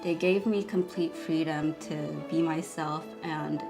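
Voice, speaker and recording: A young fashion designer from South Korea talks in a low, even voice. She speaks slowly and thoughtfully, and music plays clearly underneath her. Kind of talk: documentary interview.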